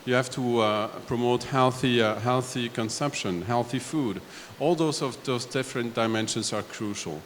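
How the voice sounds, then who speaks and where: A man from Belgium on a discussion panel, laying out his points one step at a time in a halting way. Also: mid-range voice. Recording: echoey hall, faint background noise.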